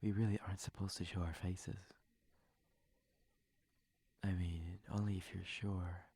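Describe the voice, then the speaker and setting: Man playing a low, breathy, whispering shy prince. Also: level tone, a little slow, no echo, exaggerated voice. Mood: surprised, hesitant. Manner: gentle, polite, hesitant, formal phrasing. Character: courteous, shy, flustered young prince at a masquerade. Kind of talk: intimate ASMR roleplay, addressing the listener.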